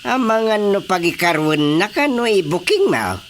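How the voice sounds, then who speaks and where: A man with an Ilocano Filipino accent playing a world-weary, principled village chairman in a radio drama comedy, grumbling in a drawn-out way. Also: high voice, very animated, a little hiss or hum. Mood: firm, reproachful.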